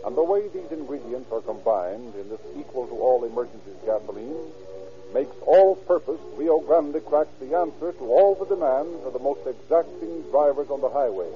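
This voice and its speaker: A man's voice: mid-range voice, animated, moderate pace, soft background music. A man announcing and narrating a 1930s radio program in a formal, declamatory, scripted manner. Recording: tinny, band-limited 1930s radio recording.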